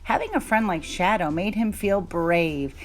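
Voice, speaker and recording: A woman's voice: lowish voice, unhurried, slight background noise. A woman reading a children's story aloud as narrator.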